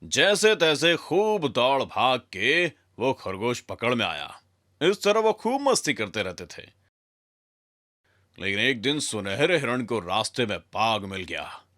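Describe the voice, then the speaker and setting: A man's voice: medium pitch, very animated, takes it slowly, bright, forceful tone, no echo, clean studio sound. A man narrating a scripted children's story in a dramatic, direct way as a storyteller.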